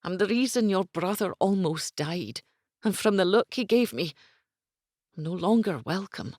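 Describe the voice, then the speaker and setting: A woman voicing a brooding Highland warrior, speaking in a grave, hushed way. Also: lively intonation, moderate pace, dry recording, studio quality.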